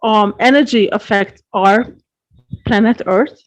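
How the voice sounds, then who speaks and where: A woman from Hungary, a guest astrologer explaining her craft in an earnest, measured way. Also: mid-range voice. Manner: earnest, measured, gently repeats words. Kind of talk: interview answers, explaining at length. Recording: dull lo-fi podcast audio.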